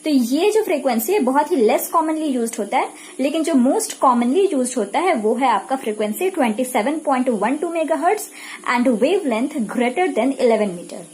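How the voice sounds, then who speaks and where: Indian lady teacher, really high voice, explains stuff step by step and repeats it, bit of background noise. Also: lively intonation, unhurried, darkish tone, rough recording. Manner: didactic, repetitive, asks and answers own questions. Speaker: physiotherapy lecturer. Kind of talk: explanatory lecture mixing Hindi and English.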